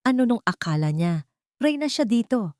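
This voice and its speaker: A woman's voice: on the high side, big pitch swings, normal speed, a little mellow, no room sound, voice pushed up. A haughty rich mother-in-law in a storybook drama, put on by a woman narrator. She is scornful and demanding, with an irritated edge, in a dry recording.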